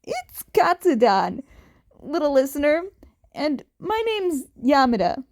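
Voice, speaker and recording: A woman's voice: high-pitched, sing-song, slow, exaggerated voice. A woman voicing an upbeat, kindly adult male hero in a fan fiction audiobook, doting and sympathetic in manner. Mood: friendly. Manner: gushing, sympathetic.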